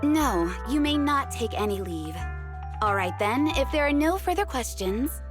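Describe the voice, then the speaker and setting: Composed, strict, higher-voiced deputy chief. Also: female voice, sing-song, a little slow, music in the background. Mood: matter-of-fact. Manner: formal, precise, matter-of-fact. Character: composed, strict female deputy chief, coolly efficient briefer. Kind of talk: video game voice acting.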